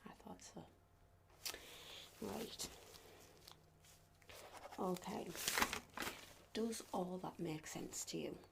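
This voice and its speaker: A woman speaking softly and reassuringly in an ASMR medical roleplay as a clinician, often dropping to a whisper. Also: lowish voice, very slow, vocal fry, long pauses. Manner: gentle, reassuring, often checking with "okay?".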